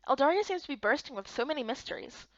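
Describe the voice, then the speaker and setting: A woman's voice: sits high, animated, fast talker, dry recording, highs cut off. A woman voicing a curious, kindhearted young heroine in a fantasy game. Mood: awed, curious.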